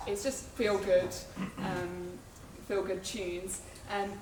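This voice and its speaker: A woman musician chats to a live audience between tunes, stumbling through her words with lots of fillers. Her voice sounds distant and echoey, as if caught by a mic across the room, with clear background noise. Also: mid-range voice, little pitch movement, slow. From England. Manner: chatty, hesitant with many fillers. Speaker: folk musician. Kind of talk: casual stage introduction.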